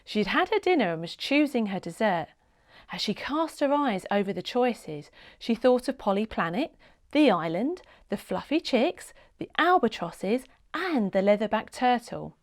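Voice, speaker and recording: Animated high-voiced woman storybook narrator. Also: a little slow. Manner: animated, dramatic emphasis. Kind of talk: expressive children's read-along narration.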